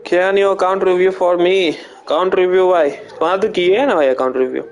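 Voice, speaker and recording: Very fast-talking man streaming. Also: high-pitched, steady tone, faint music behind. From India. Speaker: game streamer. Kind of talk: casual live stream chat.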